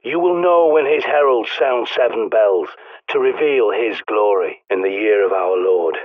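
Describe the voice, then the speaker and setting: Gruff British survivalist over tinny radio. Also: male voice, on the low side, measured pace, dry recording. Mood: grave, prophetic. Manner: blunt, sweary, commanding. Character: gruff, bossy, paranoid male doomsday survivalist guiding a survivor. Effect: radio transmission filter. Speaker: video game voice actor. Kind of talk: video game character dialogue. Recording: narrow, phone-like radio sound.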